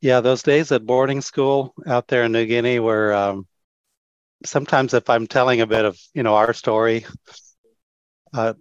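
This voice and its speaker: A man's voice: mid-range voice, steady tone, normal speed, smooth, dry recording. A man in his sixties, a mission organization leader, telling his story in an easygoing, friendly way as a podcast guest. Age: 60s. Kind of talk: casual interview chat. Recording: dry, dull remote call audio.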